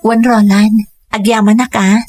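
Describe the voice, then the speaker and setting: A woman's voice: a little high, level tone, measured pace, darkish tone, faint music behind, close to an everyday voice. A woman playing a devoted single mother working abroad in a radio drama, with an earnest, emotional delivery. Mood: tender. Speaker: lead actress.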